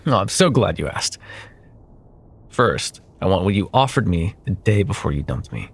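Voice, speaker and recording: Man acting a deep, gravelly, menacing CEO ex. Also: big pitch swings, heavily acted. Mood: silky, bargaining. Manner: silky condescending drawl, pet names, pointed pauses. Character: smug, wealthy corporate CEO, menacing possessive jilted ex-lover. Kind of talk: ASMR roleplay, intimate dramatic monologue.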